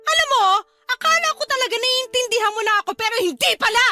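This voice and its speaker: A woman's voice: very high voice, fast talker, bright, forceful tone. A woman playing a resentful young wife and mother in a Filipino radio drama, with a Filipino accent. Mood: angry, hurt. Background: music.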